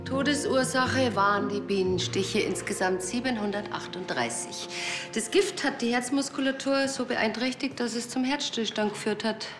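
A woman's voice: on the high side, moderate pace, music in the background, close to an everyday voice. An actress playing a cool, matter-of-fact woman pathologist with a dry wit. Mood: clinical.

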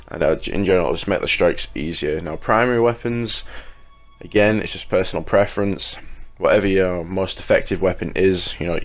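A man giving a casual, chatty video game guide as a YouTube host, sounding slightly congested with a cold. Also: low-pitched. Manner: informal, chatty, addresses viewers as guys. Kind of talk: casual video game guide commentary over gameplay menus. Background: music.

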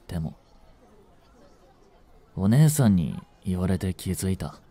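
Man acting lovestruck young swindler, swooping pitch. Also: warm tone, full character voice. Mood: flustered, earnest. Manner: polite speech, stammering when flustered. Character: young male genius swindler, lovestruck, jealous, boyishly flustered. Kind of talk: romantic audio drama acting, addressed to the listener.

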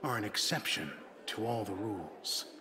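An actor playing a world-weary, guarded aging dwarf assassin in a video game, gruff and measured, with a hidden tender side. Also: male voice, lowish voice, animated, a crowd in the background, natural voice. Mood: guarded affection. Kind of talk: scripted video game dialogue acting. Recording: game music behind the voice.

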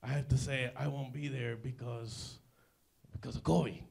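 A man doing a deadpan comic news segment on a church stage, dryly repeating his running gag. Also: mid-range voice, normal speed, vocal fry, roomy sound. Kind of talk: deadpan comedy sketch. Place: large church stage, indoors. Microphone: handheld mic.

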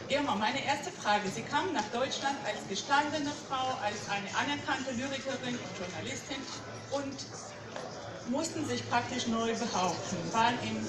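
A woman moderating a book fair reading, introducing the guest and asking questions with frequent fillers. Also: a little high. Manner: warm praise, searching for words with fillers. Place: large hall with screen, seated on stage. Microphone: far phone camera in audience. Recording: echoey hall, far off, loud noise.

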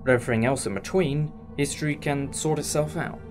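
A man's voice: on the high side, fairly quick, music in the background, natural voice. A man acting in a fan audio drama as a thoughtful, reassuring alien time traveller who explains things in a musing, conversational way. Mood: reassuring, wise.

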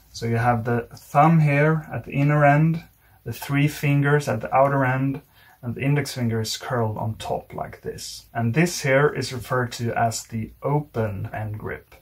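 A man with a slightly husky voice, giving a measured, step-by-step tutorial as an instructor demonstrating while he talks. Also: mid-range voice. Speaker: card magic instructor.

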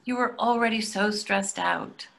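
A woman's voice: mid-range voice, a little slow, creaky, fairly bright tone. A woman in her sixties playing a practical, self-deprecating, reassuring wife in a play reading over a video call, dry and matter-of-fact. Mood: defensive. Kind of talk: readers theatre play reading. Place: plain room indoors. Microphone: computer mic on a video call.

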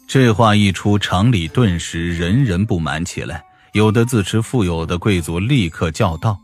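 A man's voice: low-pitched, normal speed, a little mellow. A man narrating a scripted audiobook story. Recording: music behind the voice.

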